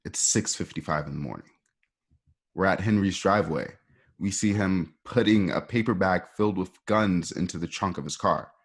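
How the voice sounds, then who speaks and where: A man narrating a radio play, reading out scene directions in a conversational way with a husky voice. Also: sits low, normal speed.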